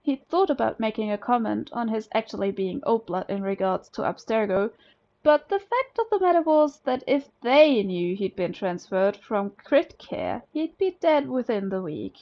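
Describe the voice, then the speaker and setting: A woman's voice: on the high side, big pitch swings, normal speed, lo-fi sound. A woman from Germany, a native German speaker, narrating a scripted story as an amateur audiobook reader.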